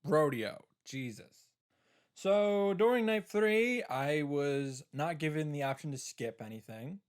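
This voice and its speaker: A man's voice: on the high side, lively intonation, slow, clear, resonant tone. A man giving casual commentary on a game playthrough, with wry, self-interrupting asides.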